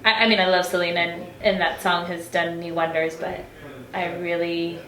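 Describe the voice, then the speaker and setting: A woman's voice: fairly low pitch, little pitch movement, normal speed, fairly bright tone. Young woman, singer-songwriter guest, chatty, filler-heavy, roomy echo. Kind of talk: casual interview chat.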